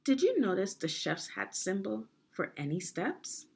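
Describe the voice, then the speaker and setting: Slow, expressive woman reading to children. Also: mid-range voice, gravelly, slightly rough. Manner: instructive, deliberate phrasing, prompting questions. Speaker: reader of a children's instructional book, teacher. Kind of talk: read-aloud guided reading lesson.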